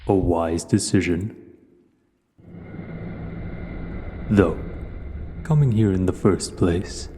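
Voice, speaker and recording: Very deep, slow, imperious dragon. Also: male voice, a little hiss or hum. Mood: menacing, commanding. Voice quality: deep, dark voice. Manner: slow, deliberate, formal, pompous. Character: huge male dragon, imperious, menacing, learned, coolly curious. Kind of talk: scripted audio roleplay voice acting. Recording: long cavernous reverb.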